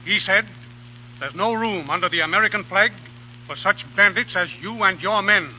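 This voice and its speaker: An actor playing a stern, pompous governor in a 1938 radio drama. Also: male voice, high-pitched, moderate pace, a little hiss or hum, close to an everyday voice. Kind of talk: 1930s radio drama acting. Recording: dull old radio broadcast recording.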